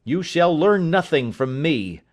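Man performing a proud young son, wounded, defiant, audiobook dialogue, raised pitch. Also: animated, normal speed, natural voice.

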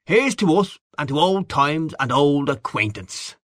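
A man's voice: fairly high pitch, sing-song, normal speed, fairly bright tone, no echo, studio quality, pitched above the performer's own voice. A man voicing a hearty, boastful newspaperman in an audiobook, breezy, expansive and patronising. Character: boisterous, boastful, hearty Irish newspaperman back home. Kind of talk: audiobook character dialogue.

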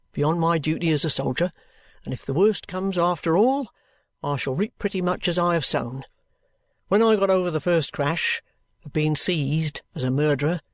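A plain-spoken old-fashioned trooper acted by a man in a storybook reading. His voice sits a little high for a man and is recorded dry and close, with steady, formal courtesy and stubborn resignation. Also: dry recording, close to an everyday voice. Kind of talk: dramatized classic novel reading.

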